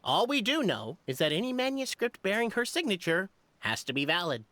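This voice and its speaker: A woman playing a grandiose, excitable scholar in a video game, wordy and theatrical. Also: medium pitch, fairly quick. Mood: lecturing. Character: scholarly, excitable, grandiose female clan researcher.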